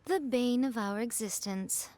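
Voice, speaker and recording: A woman's voice: fairly high pitch, unhurried, over a noisy crowd, close to an everyday voice. A woman playing an elegant, sly fox-spirit lady in an anime game, self-assured, theatrical and teasing. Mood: teasing, dramatic. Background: music.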